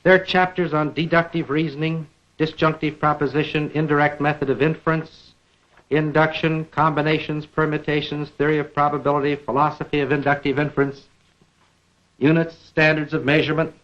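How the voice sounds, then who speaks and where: A man's voice: on the high side, level tone. American man lecturing, dull vintage film sound. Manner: measured, deliberate, rhetorical questioning. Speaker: science lecturer and film presenter. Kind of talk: 1950s educational film lecture.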